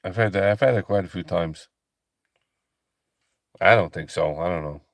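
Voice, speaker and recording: A tired man hosting a late-night ministry livestream, talking slowly and softly with a calm, relaxing voice, with many pauses and restarts. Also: deep voice, vocal fry, dry recording, studio quality. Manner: slow, halting, soft-spoken, many fillers and restarts. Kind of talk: casual late-night livestream chat with viewers.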